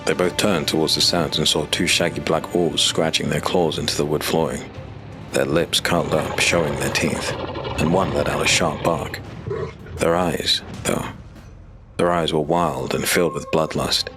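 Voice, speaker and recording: A man narrating a scripted dark fantasy story as an audiobook narrator. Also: rumbling low, fairly even intonation, moderate pace, over music.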